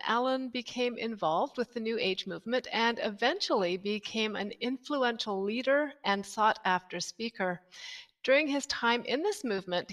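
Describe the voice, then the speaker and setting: A woman's voice: mid-range voice, a bit bright. A woman reading a prepared, formal introduction of a guest speaker on an online show.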